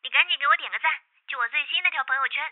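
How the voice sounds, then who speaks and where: A woman playing a bustling, friendly coworker and young mother in an audio drama, brisk and coaxing. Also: high-pitched, phone-quality sound, natural voice.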